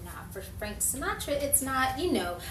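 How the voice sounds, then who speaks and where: A woman's voice: high voice, very animated, normal speed, fairly bright tone. A woman acting a jaded, self-mocking single woman in a 1950s Hollywood-style audio drama, world-weary and sarcastic. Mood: cynical. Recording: roomy, distant mic, faint background noise.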